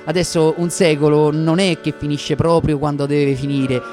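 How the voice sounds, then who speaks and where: An actor with a Roman accent playing a variety comedian who tells his life story in a sardonic, dramatic monologue with deliberate pauses. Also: on the high side, little pitch movement, over music, close to an everyday voice. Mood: wry, boisterous. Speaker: stage actor. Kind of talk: theatrical radio monologue.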